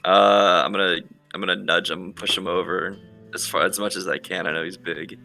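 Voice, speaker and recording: Male tabletop player, deep voice with heavy fry and a hard bright edge, casual delivery. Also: flat delivery, moderate pace, soft background music. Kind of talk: casual in-game discussion.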